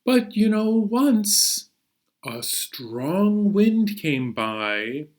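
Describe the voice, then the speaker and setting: A man's voice: high-pitched, big pitch swings, extremely slow. An American man hosting a story time for young children, playful and exclaiming as he introduces songs and stories.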